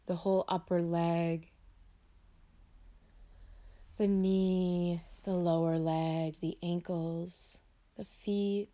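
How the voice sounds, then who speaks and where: Woman guiding meditation, low voice, slightly dull recording. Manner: gentle, hesitant, invitational phrasing. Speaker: meditation teacher. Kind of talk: guided meditation, unscripted spoken instruction.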